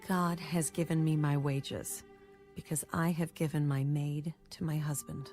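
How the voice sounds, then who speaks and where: Proud resentful wife from bible times, deep for a woman, formal deliberate lines, music behind. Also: steady tone, dark tone. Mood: grateful. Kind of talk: dramatized audio Bible acting.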